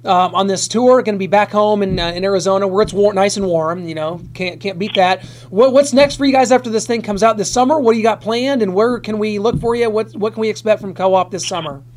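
A man's voice: sits high, fairly quick, hardly any pauses. A male radio host asking long, rambling, enthusiastic questions. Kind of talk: radio interview.

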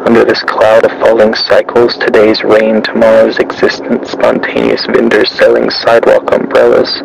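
Low gravelly man, brooding. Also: completely flat delivery, normal speed, noisy background. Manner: quiet, brooding, introspective musing. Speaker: audio log narrator. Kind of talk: personal audio diary.

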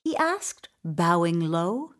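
A woman reading a children's story in an expressive, deliberate way with dramatic pauses. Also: lowish voice. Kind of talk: scripted children's story narration.

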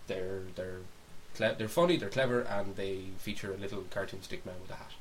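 A man's voice: deep voice, a little fast, poor audio. A man co-hosting a podcast in casual chat, informal and opinionated, rambling as he gives his views and recommendations. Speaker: podcast host. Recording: faint background hiss.

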